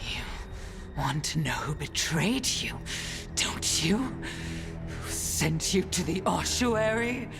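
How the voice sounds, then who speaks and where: An actress playing a haughty, mocking blood mage villain in a video game, with an affectedly genteel, taunting manner. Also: female voice, low voice, sing-song, takes it slowly, heavily acted. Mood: sly, bargaining. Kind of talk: dramatic video game acting. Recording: game cutscene with loud background music.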